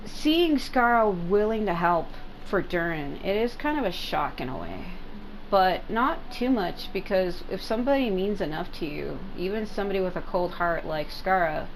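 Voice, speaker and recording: A woman's voice: on the low side, a little hiss or hum, poor audio. A woman doing casual gaming commentary, rambling and informal with some swearing. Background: game music.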